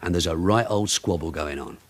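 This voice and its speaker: Low, husky British detective sergeant. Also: male voice. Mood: sardonic, firm. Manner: brisk, commanding, sardonic. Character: blunt, shrewd male police detective sergeant running interrogations. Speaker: actor in a radio detective drama. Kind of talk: British radio drama acting.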